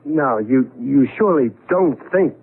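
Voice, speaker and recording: Animated good-humored husband in vintage radio drama. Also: male voice, mid-range voice, unhurried, husky, narrow, tinny audio. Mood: uneasy, placating. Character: good-humored husband newly moved into an old mansion. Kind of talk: 1970s radio drama acting. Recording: band-limited vintage radio recording.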